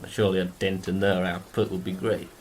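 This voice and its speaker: A man's voice: deep voice, level tone, fairly quick, a little mellow, slight background noise, close to an everyday voice. A man playing a modest, world-weary private detective in a noir radio drama, talking in an informal, folksy way. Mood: probing.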